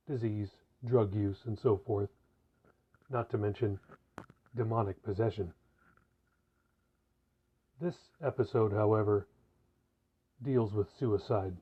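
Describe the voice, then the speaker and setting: A man's voice: on the low side, husky. A man speaking directly to podcast listeners, giving a host's announcement in a narrow, muffled recording. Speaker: podcast host. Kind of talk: spoken disclaimer to listeners. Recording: muffled, narrow phone-like sound.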